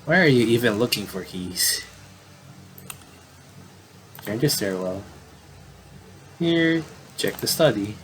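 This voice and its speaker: A man doing casual game commentary, reading on-screen dialogue aloud and adding halting asides. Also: on the low side, lively intonation, a bit bright, a little hiss or hum. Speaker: gamer doing playthrough commentary.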